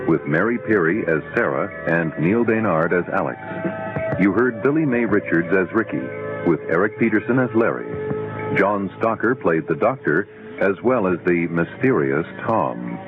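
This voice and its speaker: A man reading scripted closing credits as a radio announcer. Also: deep voice. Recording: tinny lo-fi radio, music behind.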